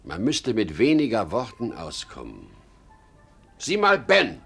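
An actor playing a boastful but insecure aging travelling salesman in a 1950s German radio drama, excitable and halting. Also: on the low side, vocal fry, breathy edge, soft background music, heavily acted. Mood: sheepish, self-doubting. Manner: excitable, halting, swinging between bluster and self-doubt. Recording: muffled 1950 radio play recording.

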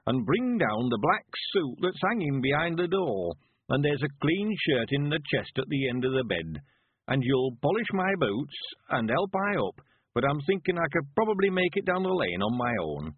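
A high, husky old countryman with a broad West Country accent. He is sprightly and cheerful in his nineties, drawing out folksy dialect with great emphasis. It is an audiobook character heard through a narrow, radio-like band. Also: big pitch swings, moderate pace, no echo. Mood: crafty, proud. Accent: rural English West Country. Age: 90s. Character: sprightly, cheerful 91-year-old rustic man.

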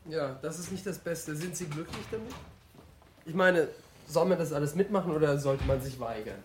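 Man acting a higher-voiced, sceptical questioner. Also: normal speed, slight background noise, natural voice. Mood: engaged, sceptical. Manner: short probing questions and dry replies. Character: curious, questioning male visitor to an agency, mildly sceptical. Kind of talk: German radio play, conversational acting.

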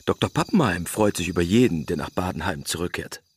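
A man's voice: sits low, expressive, brisk, slightly rough, exaggerated voice. An actor playing a wistful townsman who chronicles resort life in a German radio drama.

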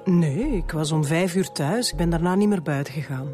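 An actress with a Flemish accent playing a guarded neighbour under police questioning in a radio drama, speaking in clipped sentences. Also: female voice, low-pitched, normal speed, a little mellow, faint music behind, lightly acted. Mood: defensive.

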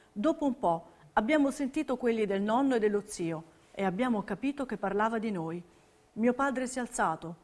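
A woman with a fairly high voice narrates a documentary stage piece. Her delivery is scripted and measured, with every word clearly set out as she reads history and testimony. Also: darkish tone.

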